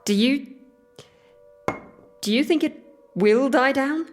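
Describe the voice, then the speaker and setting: A woman's voice: sits high, slow pace, a little hiss or hum. A woman acting in an audio drama as a jittery but wry woman sheltering in a cellar, in naturalistic dialogue. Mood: wry, nervous.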